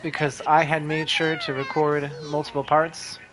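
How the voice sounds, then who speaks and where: Low-voiced woman chatting casually over gameplay. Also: flat delivery, normal speed, poor audio. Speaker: streamer.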